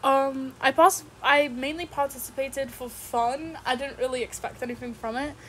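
High-pitched young Polish woman. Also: fairly even intonation, normal speed, a bit bright, slight background noise, rough recording. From Poland. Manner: playful, repeats herself with emphasis. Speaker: contestant. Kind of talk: casual self-introduction.

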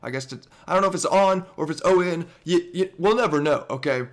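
A hoarse young man in his twenties, with his voice nearly gone, giving excitable, swear-filled reaction commentary from a headset in his bedroom. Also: a little high, quick pace. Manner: excitable, swears often, stammers and laughs. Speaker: YouTuber reacting to a gaming montage. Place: bedroom indoors. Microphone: over-ear headset.

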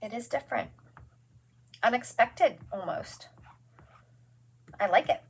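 A woman's voice: a little high, expressive, takes it slowly, gravelly, husky, rough recording. A woman in her forties hosting a live craft demonstration from her studio, chatty and gushing, greeting viewers by name as she works.

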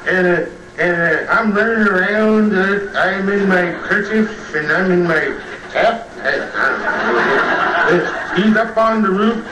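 An actor playing a jaded, boozy old children's storyteller on a TV comedy sketch, halting, slurred and muddled, often trailing off. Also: male voice, high voice, distant mic. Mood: boozy, indifferent. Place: TV studio living-room set. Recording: noisy, roomy old TV tape, off mic.

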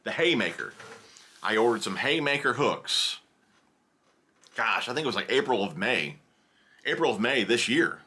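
A man's voice: medium pitch, sing-song, moderate pace, long pauses, bright, forceful tone, husky. A man in his thirties chatting casually through a fishing tackle haul, rambling and thinking aloud at his desk in a small indoor tackle room. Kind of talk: casual unboxing haul video.

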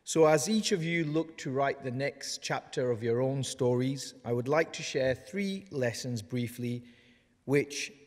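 A man's voice: medium pitch, darkish tone. Man, earnest motivational speech, lectern mics, long hall reverb. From North West England. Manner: earnest, measured, motivational delivery. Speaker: award recipient giving a graduation speech. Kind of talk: prepared ceremonial speech. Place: large wood-panelled ceremony hall. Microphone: lectern microphones.

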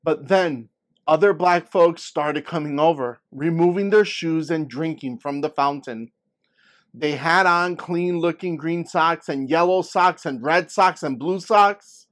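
Guy reading as a little girl telling her storybook tale, dramatic and earnest, on the higher side. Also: unhurried, fairly bright tone. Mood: animated, tense. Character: young girl narrating her frightening childhood story, earnest. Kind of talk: scripted picture-book read-aloud.

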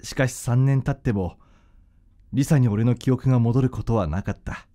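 An actor playing a gentle, devoted young man who hides his heartbreak, in a Japanese radio drama. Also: mid-range voice, moderate pace, lightly acted. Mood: somber narration. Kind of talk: radio drama acting with narration.

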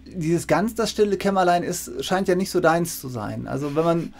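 Higher-pitched man interviewing conversationally. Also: moderate pace, gravelly. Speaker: interviewer, co-host, professor. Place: indoor room with bookshelves.

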